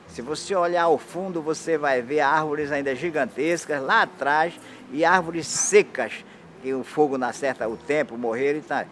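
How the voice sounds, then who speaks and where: A man's voice: fairly high pitch, a little hiss or hum. A Brazilian man explaining old photographs of his hometown in a casual, pointing-out way, often repeating "here" and "look". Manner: pointing things out, repeating "aqui" and "olha". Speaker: local resident showing old photos.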